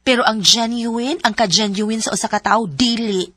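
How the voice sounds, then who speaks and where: A woman's voice: a little high, moderate pace, heavy background noise. A woman acting the lead's frank, teasing friend in a radio drama, giving blunt advice. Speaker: supporting character, the lead's friend.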